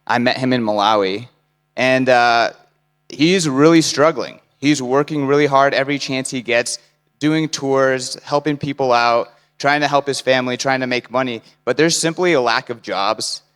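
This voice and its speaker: Man, young adult, persuasive conference speaker, mid-pitched voice, resonant, long hall reverb. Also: moderate pace, a bit bright, smooth. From United States. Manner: earnest, persuasive, lists points in short phrases. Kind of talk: conference keynote talk.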